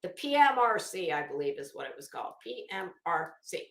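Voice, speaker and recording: A woman's voice: lowish voice, big pitch swings, fairly quick, fairly bright tone. A middle-aged woman giving casual livestream commentary in a brash, opinionated, sweary way, with dramatic emphasis. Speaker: livestream host. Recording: echoey room.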